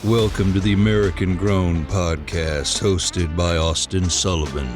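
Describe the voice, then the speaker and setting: Man, announcer, scripted intro, very low voice, guitar music behind. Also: steady tone, unhurried. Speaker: show announcer.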